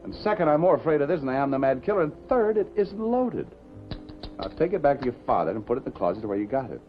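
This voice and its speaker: An actor with an American accent playing a wry, unafraid city newspaper reporter in a 1950s television drama. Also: male voice, mid-range voice, very animated, fast talker, lightly acted. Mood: wry, exasperated. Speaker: actor in lead role. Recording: dull 1950s TV soundtrack, music behind.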